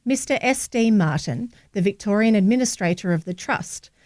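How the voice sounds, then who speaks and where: Female narrator, medium pitch, reading local print stories in a very dry acoustic. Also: a little mellow, dry recording. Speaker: reader of a local newspaper article. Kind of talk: scripted narration, read aloud.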